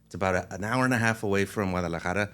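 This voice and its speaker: A man born in Mexico, a guest in a casual interview, telling his story in a relaxed way and laughing easily. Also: deep voice, racing, clear, resonant tone.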